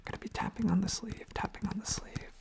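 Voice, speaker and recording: A whispered, breathy voice rambling sleepily and gently in casual ASMR style, softly repeating phrases. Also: medium pitch, steady tone, fast talker. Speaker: ASMR video creator. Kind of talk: ASMR, softly rambling casual chat while tapping on objects. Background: tapping and clicking on objects.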